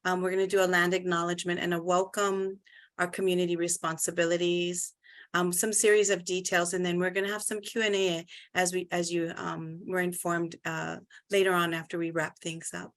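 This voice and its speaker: A woman's voice: fairly low pitch, level tone, brisk. A woman from Hawaii facilitating an online workshop over a video call, welcoming participants and giving an overview. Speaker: facilitator, cultural practitioner.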